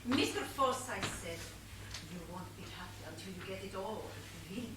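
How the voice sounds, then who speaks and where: Eager maid witness, female stage actor, dreamy and dramatic, far from the mic with clear background noise. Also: mid-range voice, expressive, normal speed, lightly acted. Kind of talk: stage comedy murder mystery acting. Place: theatre stage, recorded from a distance. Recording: echoey distant stage recording, noisy.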